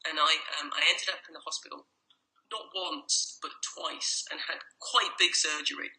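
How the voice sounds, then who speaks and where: A woman's voice: low voice, moderate pace, sharp tone, slight background noise, rough recording. A woman with an English accent, a guest life coach chatting casually in an interview over a call, with many restarts. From Ireland. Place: home in a noisy city.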